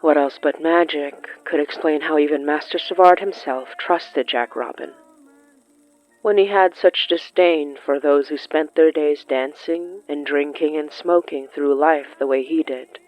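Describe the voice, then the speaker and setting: A narrator reading scripted horror fiction in a deliberate, literary way with dramatic pauses. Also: medium pitch, lo-fi sound, soft background music.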